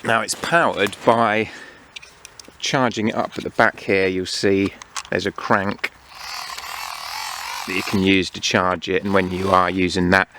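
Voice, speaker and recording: A man giving a casual, unhurried hands-on product review, explaining each feature as he goes. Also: low-pitched, fairly even intonation. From United Kingdom. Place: outdoors among greenery. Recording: clear outdoor background noise.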